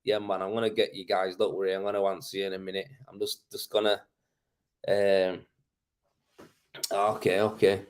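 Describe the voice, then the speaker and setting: A man's voice: low-pitched, level tone, moderate pace, long pauses. A man from Leeds with a Yorkshire accent, talking informally and colloquially as he hosts a casual livestream and answers questions. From Leeds, Yorkshire, England. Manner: informal, matey, colloquial, thinking aloud. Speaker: live show host, tradesman in plastering.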